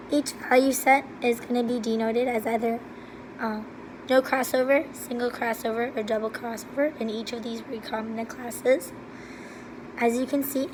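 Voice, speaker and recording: A hoarse woman with laryngitis, presenting a recorded walkthrough of gene-mapping calculations step by step in a steady, methodical way. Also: a little high, a little hiss or hum, poor audio. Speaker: student presenter.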